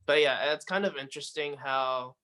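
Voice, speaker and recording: A man's voice: mid-range voice, normal speed, bright, forceful tone, clear, resonant tone. A man from the Philippines, a podcast guest talking in an easygoing, rambling way over a remote call. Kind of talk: casual interview chat. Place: home in quarantine, remote.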